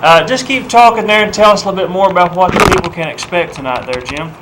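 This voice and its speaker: Slightly high-voiced male TV interviewer. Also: fast, very noisy. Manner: hesitant, reading questions with fillers. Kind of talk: local TV interview.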